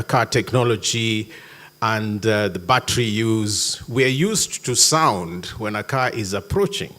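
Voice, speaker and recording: A man giving a conference talk in a hall, measured with sudden emphatic jumps. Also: mid-range voice, fairly bright tone. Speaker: conference speaker.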